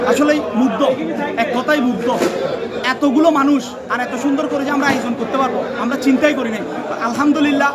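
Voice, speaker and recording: Organizer guy, really high voice, sincere and thankful, loud crowd all around. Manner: earnest, grateful. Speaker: event organizer. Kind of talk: interview statement.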